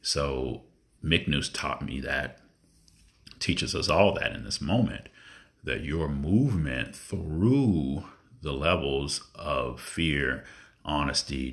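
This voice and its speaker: A man with a deep voice giving a spontaneous spiritual monologue, earnest and reflective, with drawn-out pauses. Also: a bit bright. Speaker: spiritual vlogger.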